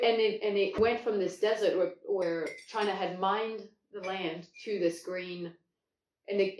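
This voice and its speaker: A woman from France speaks at a middle pitch, enthusiastic but halting, often restarting and stretching out words as she tells her story. The mic sits some way off in an echoey home kitchen. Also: a little mellow. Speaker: guest. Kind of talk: casual conversational storytelling. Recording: echoey room, far from the mic.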